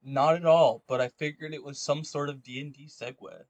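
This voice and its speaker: A man's voice: fairly high pitch, steady tone, normal speed, dry recording. A man hosting a casual podcast, talking in a joking, irreverent, slangy way. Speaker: podcast host. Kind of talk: casual podcast chat and commentary.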